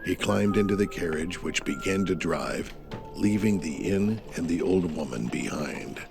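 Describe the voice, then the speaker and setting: A man's voice: low voice, measured pace, creaky. A man narrating a scripted audio drama in a dramatic storytelling style. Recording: music bed behind the voice. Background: music.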